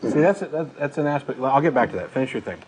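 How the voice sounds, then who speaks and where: A man's voice: mid-range voice, lively intonation, quick pace, darkish tone, husky. A man speaks as a talk show host, debating in an emphatic, assertive and conversational way.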